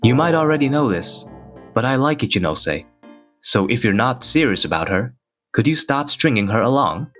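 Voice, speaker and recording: A man voicing a bright, friendly young male office colleague and romantic rival in a manga dub. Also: medium pitch, big pitch swings, normal speed, soft background music. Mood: serious.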